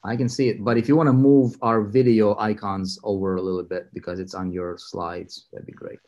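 A man hosting a video call interview, energetic and enthusiastic, rambling with frequent restarts. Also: lowish voice, normal speed, dull audio. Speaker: interviewer, mentor. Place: indoor room with city view window.

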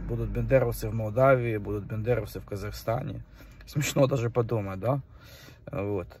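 A man's voice: lowish voice, moderate pace, darkish tone. A middle-aged man recording a rambling, preachy monologue to a phone in a car at night, with many fillers. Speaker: vlogger. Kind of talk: casual monologue to camera. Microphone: phone held at arm's length. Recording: phone mic, distant, faint car noise.

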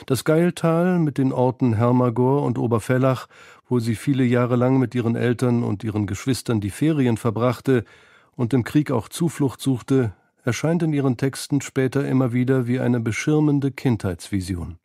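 A man narrating a scripted radio documentary in a measured, analytical manner, close to a studio microphone. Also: mid-range voice, dark tone, dry recording. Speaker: feature narrator.

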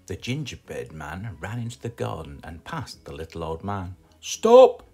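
A British man reading a children's storybook aloud in an expressive, scripted storytelling style. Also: sits low, moderate pace, gravelly, soft background music. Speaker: storybook reader.

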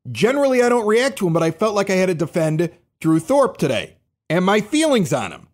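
A man hosting a podcast, fired up and defending his view with emphatic insistence. His voice sits fairly high and swings widely in pitch as he rants. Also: very animated, fairly quick. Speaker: podcast host. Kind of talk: heated podcast rant.

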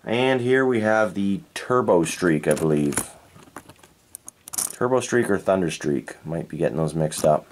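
A man from Canada reviewing toy cars in a relaxed, unhurried way, thinking aloud and correcting himself as he goes. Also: sits low, slight background noise. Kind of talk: unscripted hands-on toy review. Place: indoors at a tabletop diorama.

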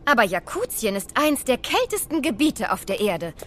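Know-it-all young girl: high, animated, insistent, children's audio drama. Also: normal speed. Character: bright, knowledgeable, insistent young blonde girl. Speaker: voice actor. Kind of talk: children's radio play acting. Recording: clear vehicle noise behind.

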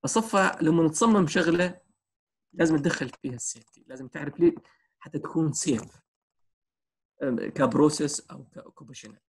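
A man's voice: on the high side, fast, long pauses, slight background noise. A man lecturing on workplace safety over a video call, using personal examples and many fillers. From Syria. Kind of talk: online lecture, answering questions.

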